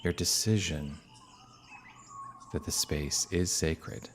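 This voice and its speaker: A man speaking as a minister leading a guided meditation, calm and unhurried, with long soothing pauses. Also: low-pitched. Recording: birdsong and outdoor ambient noise behind. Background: birdsong.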